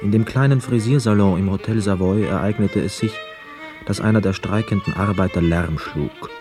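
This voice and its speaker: An actor playing a poor, wistful young man who narrates a literary radio drama in a measured, reflective manner. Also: lowish voice, over music, natural voice. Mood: sombre narration. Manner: measured, reflective, hushed inner asides.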